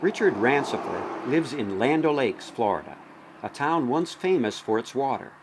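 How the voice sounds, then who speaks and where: A man's voice: medium pitch, big pitch swings, moderate pace, noisy background. A man reading scripted documentary narration as the narrator.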